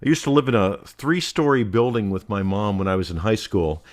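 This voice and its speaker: Brief: middle-aged male co-host, resonant carrying voice, lively theatrical delivery, casual chat. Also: lowish voice, fairly quick. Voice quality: resonant voice that carries. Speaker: podcast co-host.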